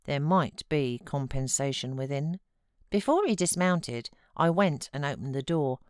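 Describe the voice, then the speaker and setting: Low, unhurried British woman reading aloud. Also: smooth, no room sound, clean studio sound. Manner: measured, expressive storytelling. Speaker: voice artist, audiobook reader. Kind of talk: scripted literary narration.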